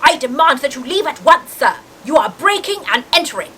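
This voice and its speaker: A woman's voice: sits high, sing-song, brisk, bright, forceful tone, pitched above the performer's own voice, heavily acted. A woman voicing a pompous, indignant middle-aged uncle giving orders in a storybook reading. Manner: pompous, commanding. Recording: hissy background noise.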